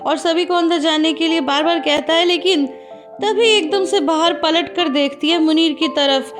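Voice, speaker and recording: Woman, recap host, bright high voice, chatty and direct with viewers, music bed underneath. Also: moderate pace. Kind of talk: conversational plot recap. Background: background music.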